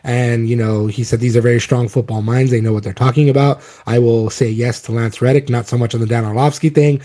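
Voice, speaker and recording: A man's voice: medium pitch, steady tone, fairly quick, mellow. A man with a cold, his voice a little off, hosting a casual sports podcast and rambling with many ums and restarts. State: has a cold, voice a bit off. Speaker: podcast host.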